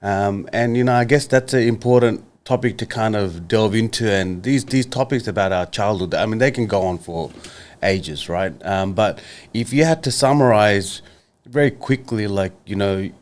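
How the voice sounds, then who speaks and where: An Australian man in his thirties, co-hosting a podcast, earnest and halting as he steers the chat with questions. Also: lowish voice. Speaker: podcast co-host.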